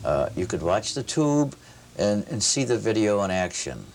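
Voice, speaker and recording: A man's voice: fairly low pitch, big pitch swings, moderate pace, darkish tone. A man asking casual questions as an interviewer. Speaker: interviewer, reporter. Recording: faint background noise.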